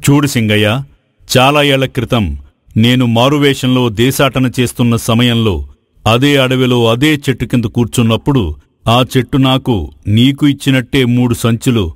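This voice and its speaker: A kindly, wise king played by a man talks in a medium-pitched male voice. He explains with fatherly authority in moral-story style. The sound is dry and close. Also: moderate pace, dry recording, lightly acted. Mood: explaining. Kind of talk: Telugu moral story voice acting.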